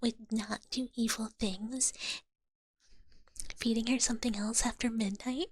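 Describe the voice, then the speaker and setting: A young woman whispering softly to her stream chat in an ASMR style, giggling often. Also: a little high, sing-song, takes it slowly, slightly rough, no room sound. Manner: soft whisper with frequent giggles.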